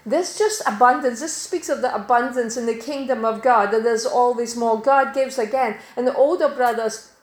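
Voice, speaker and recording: A middle-aged woman from Denmark preaching a sermon in a church, measured and emphatic, slowing to stress key words. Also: on the high side. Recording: echoey church hall.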